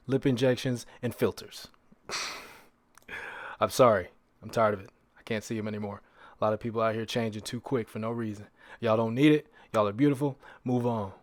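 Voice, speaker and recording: A man speaks as a solo podcast host in a medium-pitched voice. His delivery is casual and conversational, with repeated phrases and rhetorical questions for emphasis. The sound is dry, with very little room around the voice. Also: dry recording.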